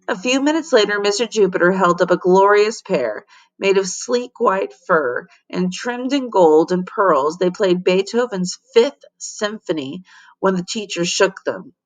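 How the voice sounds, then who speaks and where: Woman, teacher, expressive storybook read-aloud, medium pitch. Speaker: teacher reading to her class.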